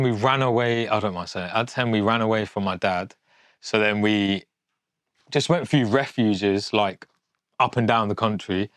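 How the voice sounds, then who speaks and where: Low-voiced young Englishman, close and dry. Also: fairly bright tone, no room sound. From England, born in Yorkshire with family from Pakistan. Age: 20s. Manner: informal, matter-of-fact, candid. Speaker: fashion designer, documentary subject. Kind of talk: casual, unscripted talk to camera.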